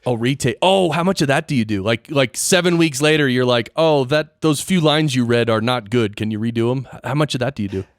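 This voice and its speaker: A man's voice: mid-range voice, brisk, few pauses, no echo. A man hosting a podcast interview in casual conversation, explaining things informally and checking with questions, close on a studio microphone. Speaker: podcast host interviewing a guest. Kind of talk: casual interview chat about audio gear and production.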